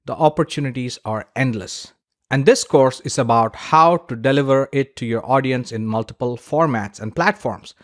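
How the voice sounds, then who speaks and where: A man's voice: mid-range voice, normal speed. A man narrating a scripted promotional course introduction as its instructor, speaking persuasively and directly to the listener with emphasized lists.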